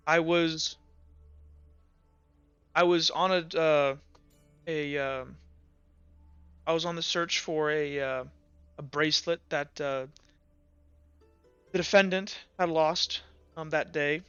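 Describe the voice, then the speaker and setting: Man playing a halting, shaken guard commander testifying. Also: fairly high pitch, fairly even intonation, fairly bright tone, clean tone, dry recording, lo-fi sound, faint music behind. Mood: reluctant, shaken. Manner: halting, hesitant, stammering.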